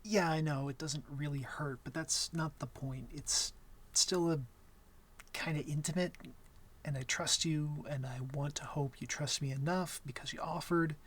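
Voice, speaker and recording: Man acting as a breathy, shy young vampire. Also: fairly high pitch, steady tone, unhurried. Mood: tender, shy. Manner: hesitant, stammering, apologetic, soft-spoken. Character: shy, awkward young male vampire, gentle and smitten. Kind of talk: intimate ASMR roleplay acting.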